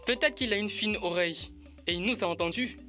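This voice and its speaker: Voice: medium pitch, fairly quick, pushed and bright, soft background music, close to an everyday voice. An actor playing a thoughtful, questioning young child in an educational children's cartoon dub. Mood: wondering.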